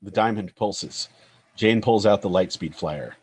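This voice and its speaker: A man's voice: fairly low pitch, a little slow, a little hiss or hum. A man narrating a screenplay's stage directions in a scripted table-read style.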